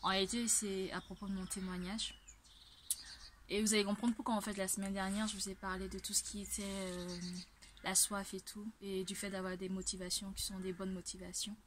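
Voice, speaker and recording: A woman's voice: mid-range voice, little pitch movement, a little fast. Woman in her twenties, from Ivory Coast, level intonation, earnest conversational vlog testimony, faint background noise. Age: 20s. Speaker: vlogger. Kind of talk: personal testimony, casual talk to camera.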